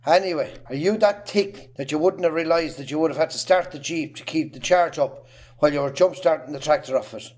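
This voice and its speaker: Bullying Irish farm patriarch, played by a man, gruff and belittling, higher-pitched voice, amateur drama. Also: fairly quick, a little mellow, lightly acted. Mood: exasperated, scornful. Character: bullying, scornful, miserly Irish farmer father. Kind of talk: amateur stage drama acting.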